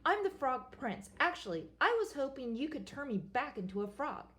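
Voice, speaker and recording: A woman's voice: high voice, sing-song, moderate pace, fairly bright tone, voice pushed up. A woman playing a flustered frog prince. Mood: pleading. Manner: flustered, pleading, tripping over words. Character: desperate young male frog prince.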